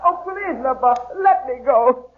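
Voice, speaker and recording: An actor playing a meek, frightened young lad who pleads timidly in an old radio drama. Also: male voice, extremely high pitch, lively intonation, a little slow. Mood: frightened, pleading. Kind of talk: 1930s radio drama acting. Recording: tinny old radio recording.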